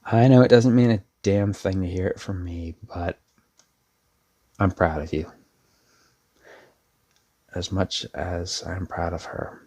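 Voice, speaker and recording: A man playing a sincere, protective brother in an intimate ASMR roleplay, speaking hesitantly and earnestly with a creaky voice. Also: deep voice, steady tone, dark tone, heavily acted. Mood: sincere, grateful. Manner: hesitant, earnest, with trailing pauses. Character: protective older brother, a laid-back but sincere young man. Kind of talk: ASMR audio roleplay, intimate one-sided dialogue.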